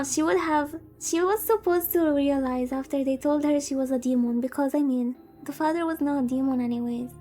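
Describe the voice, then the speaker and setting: A woman's voice: high voice, a little mellow. A woman streamer reading a visual novel aloud and chatting about it, with playful exclaimed asides. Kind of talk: livestream chat mixed with story reading.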